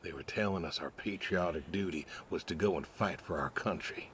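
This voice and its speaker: Older war-veteran farmer, low pitch, stoic, folksy, reticent, game dialogue, noisy ambience. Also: male voice, normal speed, fairly bright tone. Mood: reflective, subdued. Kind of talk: naturalistic video game dialogue.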